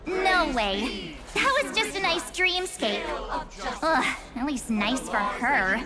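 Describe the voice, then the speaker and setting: A playful, cryptic young female companion from a fantasy game. She speaks in a high storyteller's voice with music behind her. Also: big pitch swings, takes it slowly, full character voice. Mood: teasing. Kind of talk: video game dialogue.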